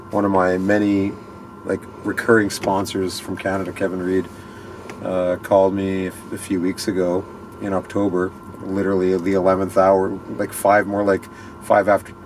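A man's voice: low voice, slight background noise. A man in his thirties, a ski racer being interviewed in a vehicle, talking earnestly in a rambling way with many restarts. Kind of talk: casual interview chat. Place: inside a small parked vehicle at night.

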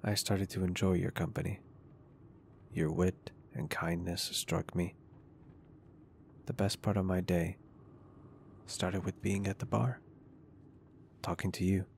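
A male performer plays a confident, protective businessman in an intimate ASMR roleplay. His voice is low with a gravelly fry, and he talks in a polite, reassuring and earnest way. There is noticeable background noise behind him. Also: little pitch movement, slow pace, long pauses, exaggerated voice. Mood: tender, earnest. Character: caring, flirty, confident male business owner, gently protective.

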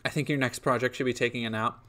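A man's voice: mid-range voice, steady tone, fast, bright, forceful tone. Rambling, sleepy young man streaming. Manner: rambling, trails off with frequent ums and restarts. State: a little sniffly and sleepy. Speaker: streamer and indie game developer. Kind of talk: casual stream Q&A, reading chat.

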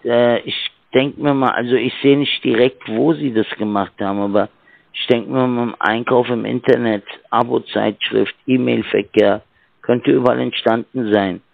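A man calling as a sales agent by phone, halting and fumbling with fillers, heard over a tinny phone line. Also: mid-range voice, steady tone, gravelly, no echo. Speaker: scam caller. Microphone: telephone.

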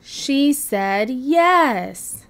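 Eager little boy dinosaur, played by a woman, storybook character, lively swings, drawn-out words. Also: high voice, pitched above the performer's own voice, exaggerated voice. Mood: excited. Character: eager young boy dinosaur, busy and easily muddled.

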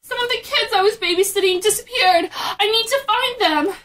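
A woman playing a harried, high-strung babysitter in a comedic audio drama, exclaiming melodramatically. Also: fairly quick, fairly bright tone. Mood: frantic.

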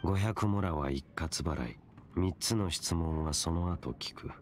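A man voicing a cold, mysterious young wandering warrior in a Japanese game, speaking in a measured, stern, formal way. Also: low voice, slight background noise. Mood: cool, measured.

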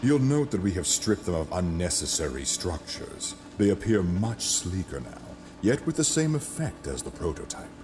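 An actor playing a gruff, proud imperial governor in a science-fiction audio drama, with a formal military way of talking. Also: male voice, low voice, sing-song, moderate pace, hardly any pauses. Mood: wary, deferential.

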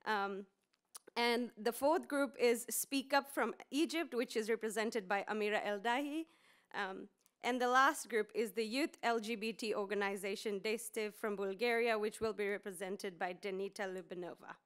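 Smooth-voiced woman moderating a panel. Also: mid-range voice, moderate pace. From Fiji. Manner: frequent ums and small restarts. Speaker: session moderator. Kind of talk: conference panel introduction. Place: conference room with seated audience. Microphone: podium mic.

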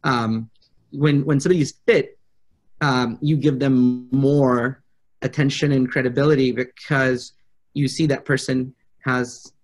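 A man in his thirties speaking as a client on a video call from an office, in a deliberate but blunt, assertive way. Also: medium pitch, steady tone. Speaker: prospective coaching client, public speaker. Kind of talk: conversational sales video call. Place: small indoor office. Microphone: video call, computer microphone.

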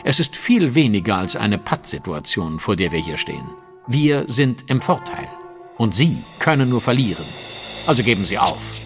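An actor playing a confident, sardonic Victorian detective in a German audio drama, polite, measured and ironic. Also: male voice, on the low side, big pitch swings, music in the background. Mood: firm, commanding.

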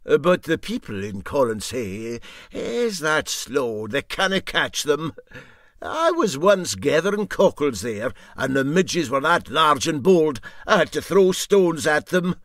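A man voicing an indignant old Highland boat skipper telling tall tales emphatically and drolly in a Scottish accent. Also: very animated, slow, fairly bright tone, dry recording, clean studio sound, heavily acted. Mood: boastful.

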